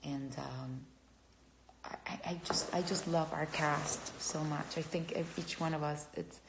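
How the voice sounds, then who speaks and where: A woman from Cuba with a Cuban Spanish accent, chatting casually on a phone livestream, halting and gushing as she answers fans. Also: low-pitched, steady tone, creaky, noisy background. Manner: halting, searching for words, gushing.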